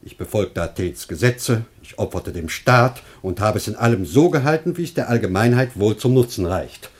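Casting: self-righteous poor master, slightly low husky voice, very animated declamation, radio drama. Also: male voice, normal speed. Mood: dignified, lamenting. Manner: declamatory, pompous, excitable. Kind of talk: classic German radio play acting.

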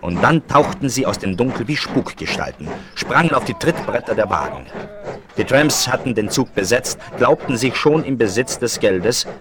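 A man's voice: mid-range voice, animated, moderate pace. A man narrating dramatically from a script, as the storyteller of a German radio play. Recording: noisy 1970s vinyl rip.

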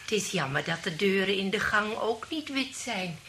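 A woman's voice: mid-range voice, lively intonation, normal speed, sharp tone, a little hiss or hum. An actress playing an elderly lady friend, vague, nosy and innocently tactless, in a radio play. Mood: innocently critical. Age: older.

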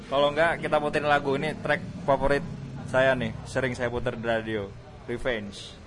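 A male radio host. He chats informally in loose slang, as in a relaxed music interview, at a middling pitch. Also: moderate pace, background noise.

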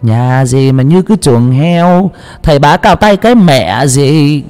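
A man voicing a haughty rich older landlady with a shrill, bossy way of talking. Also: sing-song, normal speed, a little mellow, long reverb tail, soft background music. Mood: scornful. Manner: bossy, scornful.

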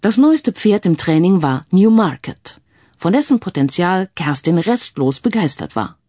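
A woman's voice: on the low side, very animated, a little slow, mellow, dry recording, clean studio sound. A woman narrating a scripted crime story as an audiobook reader.

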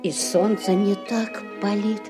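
An actress playing a tender, joyful old peasant wife in a Russian audio fairy tale, speaking in a cooing way. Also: female voice, mid-range voice, level tone, slow pace, natural voice. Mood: wondering, happy. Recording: studio radio play with music behind.

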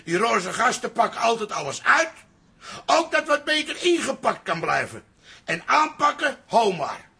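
An actor playing a blustering, quarrelsome old working-class father in a radio comedy, with a rough voice. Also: very high voice, very animated, moderate pace, pushed and bright, exaggerated voice. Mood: insistent. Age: older.

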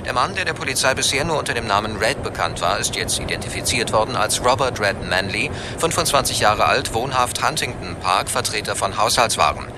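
An actor playing a formal, urgent 1940s radio newsreader giving a special bulletin. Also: male voice, mid-range voice, animated, brisk, hardly any pauses, background noise, natural voice.

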